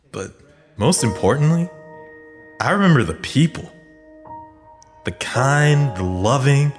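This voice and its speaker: A man's voice: medium pitch, natural voice. A man playing an earnest young community activist giving a sincere, heartfelt speech in an audio drama. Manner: sincere, nostalgic public speaking.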